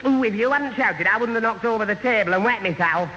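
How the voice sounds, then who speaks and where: A man's voice: high-pitched, quick pace, natural voice. An actor playing a flustered, gormless young man who stammers, in a British radio sitcom. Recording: muffled, noisy old radio recording.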